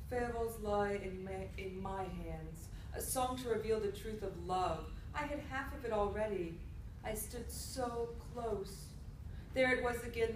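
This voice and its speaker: A woman's voice: medium pitch, natural voice. A woman performing a troubled, yearning young woman who narrates her own story in staged radio theatre. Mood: wistful, rueful. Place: indoor convention performance before microphones. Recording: roomy, noisy live stage, distant mic.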